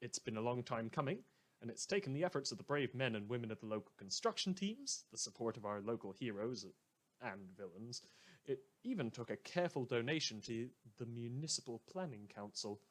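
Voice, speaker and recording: A man's voice: medium pitch, sing-song, natural voice. Theatrical, wry radio news host, acted by a man. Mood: grandly welcoming. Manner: dramatic, florid, drily wry, deliberate emphasis. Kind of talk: scripted fictional community radio news monologue.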